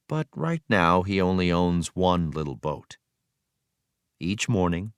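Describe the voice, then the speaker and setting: Low-pitched man reading aloud with lively intonation. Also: dry recording, studio quality. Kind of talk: read-aloud narration of a children's history text.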